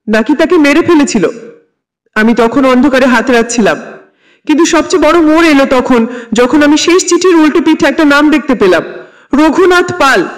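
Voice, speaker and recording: A man reading a scripted first-person horror story in a dramatic, suspenseful storytelling manner. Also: very high-pitched.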